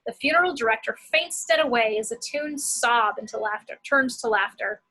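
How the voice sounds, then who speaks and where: Bright, high-voiced woman narrating a script. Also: moderate pace, rough recording. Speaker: action-description reader.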